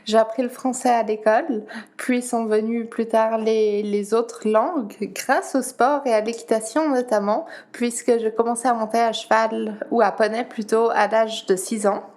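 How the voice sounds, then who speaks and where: A young woman from Geneva whose first language is Dutch, answering interview questions slowly, with pauses and repeated words. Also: on the high side, fairly even intonation, a little mellow. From Geneva, Switzerland. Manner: slow, halting, many pauses and repeated words. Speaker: guest, para-athlete.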